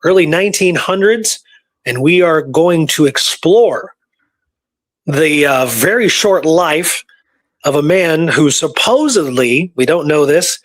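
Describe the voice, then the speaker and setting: A man's voice: on the high side, lively intonation, slow pace. A man co-hosting a casual podcast, talking in an enthusiastic, emphatic storytelling manner. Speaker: podcast co-host.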